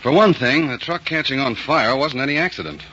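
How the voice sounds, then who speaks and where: An actor playing a keen FBI field agent talking over a case in 1940s radio crime drama style. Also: male voice, medium pitch, animated, fairly quick, pushed and bright. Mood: keen, speculating. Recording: muffled old 1940s radio recording, faint hiss.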